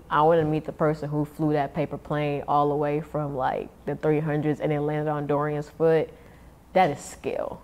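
Woman in her thirties, lowish voice, slangy storyteller, faint room ambience behind. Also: slight background noise. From Nigeria. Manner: animated storyteller, slangy, laughs often. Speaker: podcast guest. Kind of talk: casual chat.

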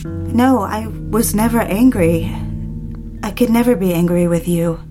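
A woman's voice: mid-range voice, measured pace, music in the background, natural voice. An actress playing an anxious, lovelorn woman with wounded pride in a period melodrama, genteel and dramatic. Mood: anxious, pleading. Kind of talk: period melodrama acting with first-person narration.

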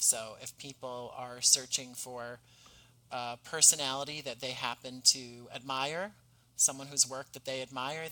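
A man speaking as an interview guest, articulate, measured and courteous in conversation. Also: medium pitch, sharp tone, rough recording. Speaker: podcast guest, playwright and writer.

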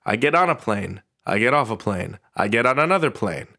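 A man's voice: lowish voice, animated, a little fast, vocal fry, pushed and bright. A man hosting a podcast, speaking in a casual but composed way with a wry, sardonic edge. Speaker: podcast host. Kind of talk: panel talk and casual scripted host narration.

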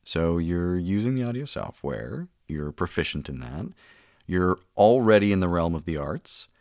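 A man hosting a podcast, giving measured introductions and interview questions. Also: low voice, expressive, vocal fry, darkish tone, dry recording, studio quality. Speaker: podcast host.

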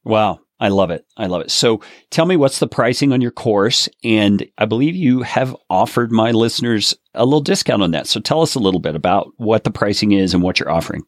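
Low-voiced podcast host, dry sound. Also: male voice, expressive, a little fast, few pauses, dry recording. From United States. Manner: enthusiastic, wraps up with long explanations. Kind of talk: podcast interview.

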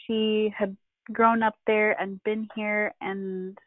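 A middle-aged woman from the Philippines, a family therapist, speaking candidly and reflectively with halting pauses and self-deprecating laughs. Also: mid-range voice, level tone, dry recording. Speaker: podcast guest, family therapist. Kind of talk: candid personal conversation. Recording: tinny phone-like line.

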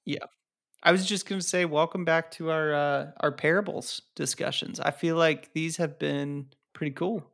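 A man's voice: on the high side, fairly even intonation, moderate pace, gravelly, fairly bright tone. A man co-hosting a casual podcast, chatting in a laid-back, slangy way. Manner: slangy and laid back, says dude and bro. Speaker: podcast co-host.

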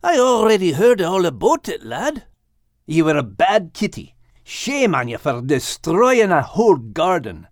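A man's voice: high voice, sing-song, measured pace, no room sound, studio quality, voice pushed up. A man voicing a protective, teasing male dog with a Scottish accent and a hearty, blunt manner in an audiobook. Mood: scolding. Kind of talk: animated audiobook character reading.

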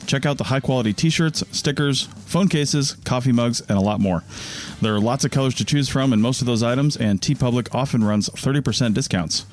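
A man's voice: mid-range voice, brisk, darkish tone. A man reading promotional announcements for a podcast network in a plain-spoken, informal way. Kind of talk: promotional read. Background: guitar music.

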